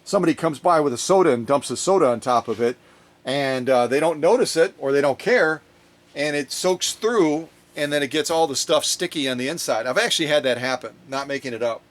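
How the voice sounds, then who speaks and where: A man with a voice slightly on the higher side talks casually to his viewers in a workshop vlog. He is a lively, chatty storyteller who wanders off on tangents and rarely stops talking. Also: brisk. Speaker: sewing machine restorer. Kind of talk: casual unboxing vlog talk. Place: workshop, recording his own video.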